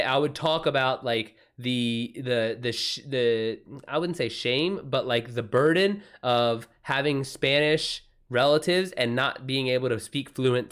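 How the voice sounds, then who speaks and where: Male livestream host and writer, medium pitch, informal unscripted chat, thinks out loud, bro-ish slang. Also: clean tone. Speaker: livestream host and author giving writing advice.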